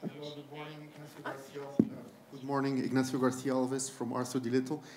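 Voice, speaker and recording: A man's voice: medium pitch, monotone, fast talker, roomy sound, a crowd in the background. A middle-aged man in the audience asking a question into a handheld mic, rambling and restarting as he goes.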